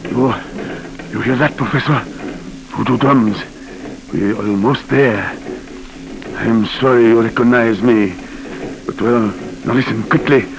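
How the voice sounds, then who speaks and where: An actor playing a big, proud Haitian officer in a 1940s radio drama, grave and commanding in simple broken English. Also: male voice, medium pitch, fairly even intonation, unhurried, gravelly, pauses often, rough recording. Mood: urgent, secretive. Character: big proud Haitian native officer, a determined cult infiltrator. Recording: old 1940s radio recording with music.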